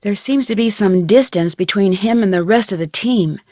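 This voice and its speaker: A woman's voice: mid-range voice, normal speed, darkish tone, no echo, clean studio sound, natural voice. A woman playing an inquisitive, polite young female amateur detective in a video game, asking questions in a curious way. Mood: suspicious, earnest. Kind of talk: video game dialogue acting.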